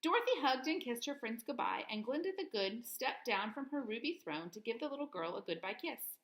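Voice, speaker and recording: A woman reading a children's story aloud in an expressive, clearly phrased teacher's manner. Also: on the high side, brisk.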